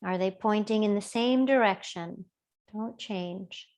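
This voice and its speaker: Female meditation teacher, mid-range voice, very slow and soothing, leaving long pauses between gentle instructions. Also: mid-range voice. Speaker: yoga and body awareness teacher. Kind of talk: guided body scan meditation.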